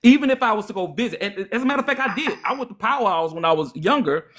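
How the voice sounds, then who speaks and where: A man's voice: high voice, lively intonation, quick pace, fairly bright tone. A young man from Louisiana with a Louisiana accent, hosting a heated livestream debate, lecturing and taunting. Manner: lecturing, mocking, repetitive taunts. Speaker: live stream host.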